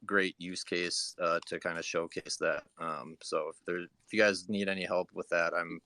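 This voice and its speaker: A man's voice: sits low, little pitch movement, normal speed, pushed and bright, no echo. A man in a casual audio chat, speaking tentatively and hedging his phrases. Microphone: online voice call.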